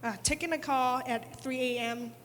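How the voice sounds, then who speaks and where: A woman's voice: sits high, steady tone, normal speed, a little hiss or hum. A woman attorney speaking on a conference panel, emphatic and repeating words as she presses her points. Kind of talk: panel discussion. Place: large conference hall. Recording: conference hall reverb.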